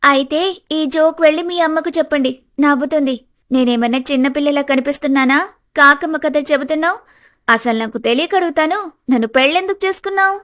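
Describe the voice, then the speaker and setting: A woman voicing a clever, outspoken young daughter-in-law in an animated family story, earnest and firm. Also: high-pitched, brisk. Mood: questioning. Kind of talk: animated family drama dialogue.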